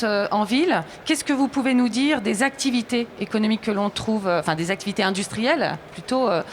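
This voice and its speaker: A woman's voice: fairly high pitch, a little hiss or hum. Woman moderating a round table. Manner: hesitant, many fillers. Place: conference hall.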